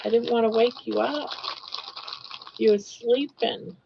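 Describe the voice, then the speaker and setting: A woman's voice: fairly high pitch, steady tone, measured pace, vocal fry, highs cut off, a little hiss or hum. A woman in her sixties hosting a casual live stream, chatting and joking and talking sweetly to her pets. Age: 60s. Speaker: livestream host.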